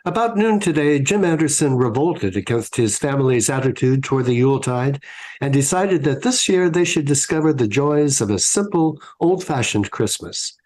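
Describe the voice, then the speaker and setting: A man's voice: medium pitch, lively intonation, moderate pace, a little mellow. A man announcing and narrating in a scripted, old-time radio show style.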